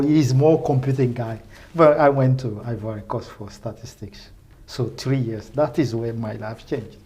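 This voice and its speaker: A middle-aged man from Benin, a native French speaker with a Francophone West African accent, talking enthusiastically as an interview guest in halting, repetitive phrases. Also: medium pitch, warm tone. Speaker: research professor, podcast guest. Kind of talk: casual spoken interview.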